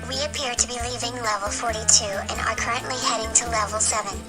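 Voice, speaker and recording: A precise, announcing computer companion played by a woman in a comic sci-fi audio skit. The voice sits a little high, with loud music behind it. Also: steady tone, quick pace, lightly acted. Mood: matter-of-fact announcing. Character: spaceship-style computer companion announcing improbability odds.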